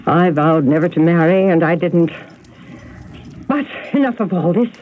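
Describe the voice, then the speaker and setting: An actress playing a genteel elderly spinster in a radio drama, hospitable yet haunted and bitter, with an emotional way of telling things. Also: female voice, fairly low pitch, expressive, measured pace. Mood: wistful, bitter. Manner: gracious, emotional storytelling. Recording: tinny, noisy old radio broadcast.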